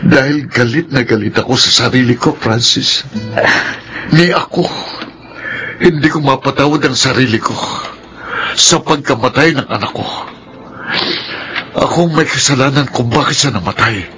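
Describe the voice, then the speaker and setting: A man playing a guilt-ridden, self-loathing father in a radio drama, speaking heavily and haltingly. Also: mid-range voice, noisy background, natural voice. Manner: heavy, remorseful, halting delivery. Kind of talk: melodramatic radio drama acting.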